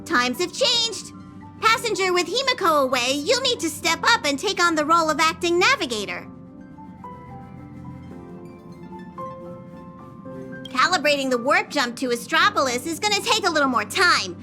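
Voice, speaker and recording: A woman's voice: very high-pitched, very animated, fairly quick, pushed and bright, faint music behind, heavily acted. A woman voicing a small, bossy, fretful train conductor creature in a video game, with a scolding manner. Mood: brisk, caring.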